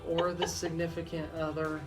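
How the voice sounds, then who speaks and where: A man hosting a legal talk radio show, explaining in a deliberate way as a lawyer. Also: fairly high pitch, completely flat delivery, poor audio. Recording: music bed under the voice. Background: music.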